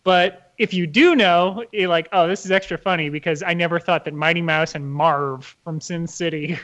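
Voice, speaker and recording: A man's voice: a little high, steady tone, normal speed, a bit bright. Hesitant man thinking aloud. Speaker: podcast co-host. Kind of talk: casual chat.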